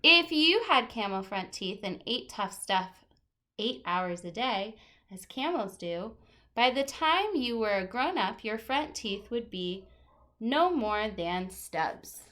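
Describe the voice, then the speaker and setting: A woman's voice: fairly high pitch, big pitch swings, slow pace, bright, forceful tone. A woman teacher reading a children's nonfiction picture book aloud, stressing key words expressively and adding casual asides.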